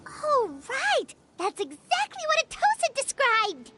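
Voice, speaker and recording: A woman voicing a tiny, excitable childlike female companion in a video game, eagerly explaining and exclaiming. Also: very high-pitched, measured pace, faint music behind, heavily acted. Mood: excited realization.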